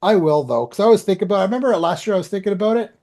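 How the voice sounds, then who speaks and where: A man's voice: high voice, expressive, racing, a little mellow. A man with a Canadian accent, from the Ottawa area, chatting casually as a podcast co-host in a rambling, self-deprecating way. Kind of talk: casual chat, personal updates.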